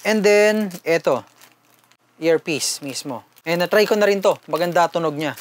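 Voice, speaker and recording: A man's voice: fairly high pitch, a little slow. A man with a Filipino accent doing a casual, conversational unboxing and product review, mixing two languages as he talks informally to viewers.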